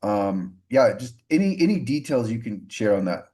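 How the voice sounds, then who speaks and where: A man's voice: mid-range voice, big pitch swings, normal speed, dark tone. A man from Texas hosting a podcast interview, asking rambling questions with fillers and restarts. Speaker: podcast host.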